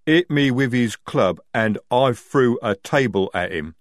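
Man doing a slow, bashful female troll. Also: mid-range voice, animated, no echo, studio quality, pitched above the performer's own voice, lightly acted. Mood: bashful, stubborn. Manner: slow, plain-spoken, ungrammatical.

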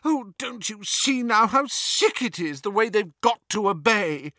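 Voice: medium pitch, lively intonation, unhurried, bright, forceful tone, no room sound, clean studio sound, voice pushed up. A performer voicing a tender-hearted, distressed teenage girl in an audiobook, emotional and pleading. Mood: crying out, pleading. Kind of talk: audiobook character acting.

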